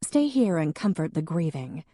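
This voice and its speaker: A woman reading an audiobook, voicing a sarcastic young woman with a dry, deadpan wit. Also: sits low, moderate pace, no echo, exaggerated voice.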